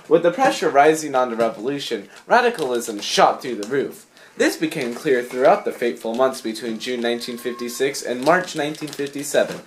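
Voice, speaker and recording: Young male narrator, mid-pitched, scripted history presentation, theatrical, wry, student project. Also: slight background noise. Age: young adult.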